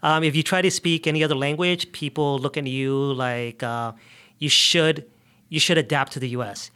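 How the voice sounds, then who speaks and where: A man from the United States speaking as an interview guest, explaining things earnestly in conversational, somewhat halting phrases. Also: a little high, fairly even intonation, sharp tone, smooth. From United States, grew up in New York and the South. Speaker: immigration justice advocate, interview guest.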